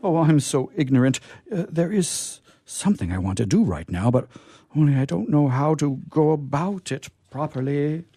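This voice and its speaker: An actor playing a naive, courtly angel in a comic radio play, with formal, florid, hesitant phrasing. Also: male voice, fairly high pitch, lively intonation, normal speed, warm tone, husky, no echo, faint music behind. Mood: smitten, earnest. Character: naive, courtly, curious male angel discovering earthly pleasures.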